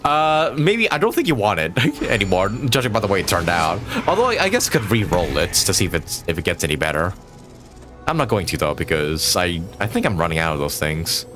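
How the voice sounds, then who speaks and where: Low-voiced rambling man streaming a game. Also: sing-song, fairly quick, music in the background. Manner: rambling, thinking aloud. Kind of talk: casual live gameplay commentary.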